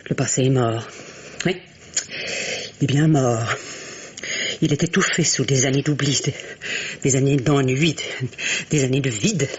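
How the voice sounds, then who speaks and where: An actress playing a bitter, unhappy middle-aged wife in a French radio drama, halting and repeating words with volatile emotion. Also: female voice, extremely low pitch, breathy edge, lo-fi sound, heavily acted. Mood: bitter, weary. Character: bitter, grey-haired, unhappy wife, trapped and theatrical.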